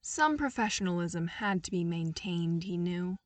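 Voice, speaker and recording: A woman's voice: fairly low pitch, lively intonation, normal speed, dry recording. Woman narrating, dry and slightly distant. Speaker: podfic narrator. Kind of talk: scripted story narration.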